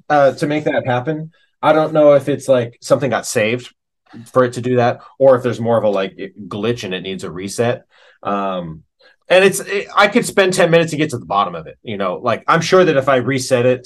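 A man chatting casually as an interview guest, telling stories in a slangy, animated, self-deprecating way. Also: medium pitch. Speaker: interview guest, professional keyboard player and organist.

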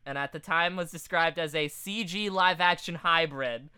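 A man with a forward, bright voice in a very dry recording. He talks like a podcast co-host in relaxed conversation, loose and informal, with plenty of swearing. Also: a little high, normal speed, clear, resonant tone, no room sound. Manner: casual, frequently swearing. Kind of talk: casual podcast chat.

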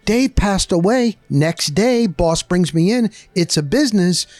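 A middle-aged man interviewing conversationally, with halting, emphatic phrasing. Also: high-pitched, expressive, darkish tone, no echo, faint music behind. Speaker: interviewer, sports broadcaster.

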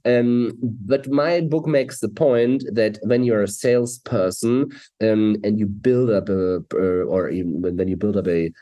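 Male expert guest, German accent, low mellow voice, conversational interview delivery. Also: steady tone, a little slow.

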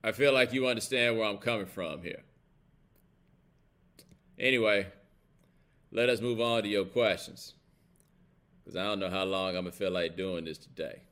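A man's voice: on the low side, normal speed, long pauses, a bit bright. Blunt American man, casual live commentary. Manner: blunt, colloquial, emphatic, checks in with listeners. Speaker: host of a live commentary show. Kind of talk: casual opinionated livestream commentary.

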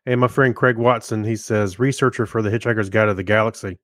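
A man's voice: fairly low pitch, fairly even intonation, fast, a bit bright, dry recording, clean studio sound. A man co-hosting a podcast, chatting casually and reading listeners' comments aloud. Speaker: podcast co-host.

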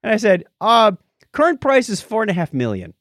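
A man chats casually as a podcast co-host. His slightly high voice swings widely as he jokes and acts out each side of a conversation. The sound is dry, with no room echo. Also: wildly swooping pitch, a little fast, fairly bright tone. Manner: jokey, acts out both sides of conversations. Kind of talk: casual podcast chat, storytelling.

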